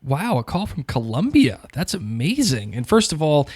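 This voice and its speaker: A man's voice: on the high side, very animated, normal speed, noisy background. A man hosting a podcast, answering listener messages in a sympathetic, casual way and thinking aloud, with what he calls a thick accent. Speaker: podcast host.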